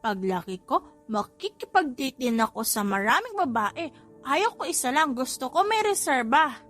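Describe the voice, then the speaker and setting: A woman's voice: high voice, sing-song, moderate pace, fairly bright tone, faint music behind, exaggerated voice. A woman voicing a mischievous, boastful little boy with a childlike manner in an audiobook story. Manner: childlike, polite pleading to mother. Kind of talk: audiobook character voice in a family romance story.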